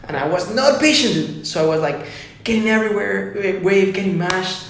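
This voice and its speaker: Excitable young man from Ecuador, creaky voice. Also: high-pitched, normal speed, slight background noise. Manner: excited, says "dude" often. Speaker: musician guest. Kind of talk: casual podcast chat. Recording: very echoey room.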